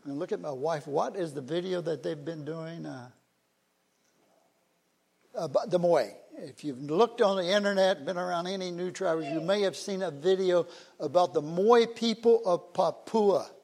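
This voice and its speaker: A man's voice: high-pitched, normal speed, creaky, a little mellow. An older man giving a folksy, conversational church talk about missionaries, with frequent fillers. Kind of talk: informal church talk introducing missionaries on slides.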